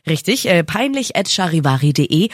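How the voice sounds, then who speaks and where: Eine Frau mit bairischem Akzent und leichtem Schnupfen, Co-Moderatorin, die neckisch und mit kurzen Einwürfen reagiert. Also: female voice, fairly low pitch, sing-song, dry recording, clean studio sound. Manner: teasing, quick reactions. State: stuffy, has a cold. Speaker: podcast co-host. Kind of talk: casual chat.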